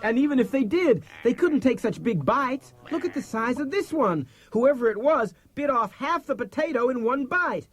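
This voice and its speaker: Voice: high-pitched, lively intonation, normal speed, hardly any pauses. A performer voicing a clever, cocky little male cat, eager and confident, in a children's audiobook. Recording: cassette audiobook with faint music behind.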